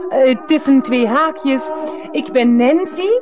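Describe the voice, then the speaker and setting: High-voiced, unhurried, dreamy mistress. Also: female voice, over music. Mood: soothing, dreamy. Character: Nancy, dreamy, earnest mistress with woolly therapeutic talk. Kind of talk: radio comedy drama acting.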